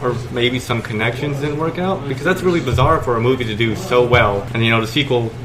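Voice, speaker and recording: A man in a casual chat talks quickly, working through his thoughts with hedges. His voice sits at a middle pitch. A loud crowd murmurs behind him. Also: rough recording. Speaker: podcast co-host.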